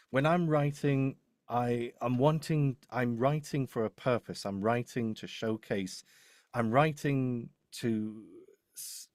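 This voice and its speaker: A man talks through his working method in a live workshop. His pitch is average and the sound is dry. He is emphatic, often stopping to rework or repeat a phrase before moving on. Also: dry recording. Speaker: voice-over demo producer and script writer. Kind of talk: explaining in a live online workshop.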